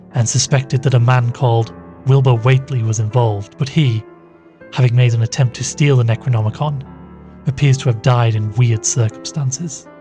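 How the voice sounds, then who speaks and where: A man playing an earnest investigator, gravely and deliberately recounting a dangerous occult case in an audio drama monologue. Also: mid-range voice, lightly acted.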